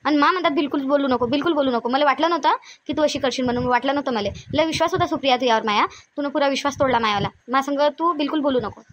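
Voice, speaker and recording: Woman playing a high-pitched, scolding mother-in-law, Vidarbha accent. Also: rapid-fire, a bit bright. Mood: outraged, scolding. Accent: Vidarbha Marathi. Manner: scolding, insistent, repeats her demands. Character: bossy, suspicious, aggrieved older mother-in-law. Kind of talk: cartoon family comedy skit acting.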